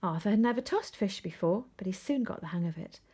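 Female storybook reader, expressive read-aloud, soft husky, breathy edge to the voice. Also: mid-range voice, a little fast. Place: indoors at home, seated.

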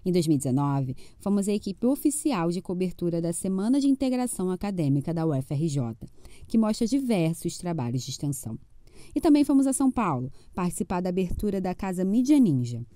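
Young woman, warm mellow tone, lively pitch, formal scripted reading, dry sound. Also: brisk, no room sound. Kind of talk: scripted presentation to camera.

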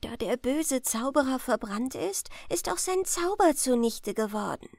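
A woman storyteller plays a young bride in a storybook tale. She speaks high and gently, sighing with tender relief. The sound is dry and close. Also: animated, unhurried, gravelly, no room sound, exaggerated voice.

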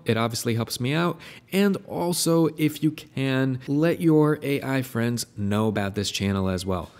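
Young male tutorial host, friendly explainer, average pitch. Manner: friendly, explanatory, recommends affiliate link. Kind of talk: screen-recorded software tutorial.

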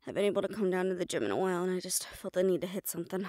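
A woman's voice: on the low side, rapid-fire, dark tone, no echo, close to an everyday voice. A woman playing a tough, loyal assistant to a mafia boss in a casual, familiar audio roleplay. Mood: casual, warm. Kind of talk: intimate audio roleplay acting.

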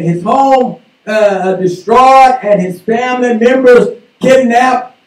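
A man preaching a sermon from a church pulpit, slowly working through his points and dropping "amen" after almost every phrase. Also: very high-pitched. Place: small church sanctuary, indoors. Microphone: pulpit mic, filmed by a far camera. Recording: echoey room from far off.

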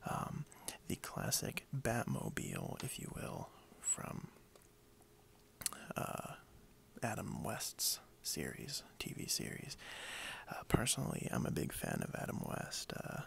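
A man murmurs in a hushed, breathy ASMR role play as a shop owner. His delivery is slow and friendly, with heavy gravelly fry. The pitch is low and wanders widely between murmur and whisper. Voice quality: soft, breathy half-whisper. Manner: hushed, unhurried, friendly, hesitant phrasing. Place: indoors at a wooden desk.

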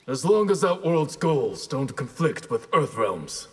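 A stern masked ninja master in a fighting game. He speaks solemnly and formally, with a slightly husky grain and a hall-like reverb around the voice. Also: a little high, very animated, unhurried, heavily acted. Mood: solemn, resolute. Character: stern, honor-bound masked ninja clan leader. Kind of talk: dramatic fighting game dialogue.

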